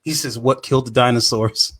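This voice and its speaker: A man co-hosting a casual podcast chat, talking in an animated, halting way and repeating phrases for emphasis. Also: medium pitch, slightly rough. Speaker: podcast co-host.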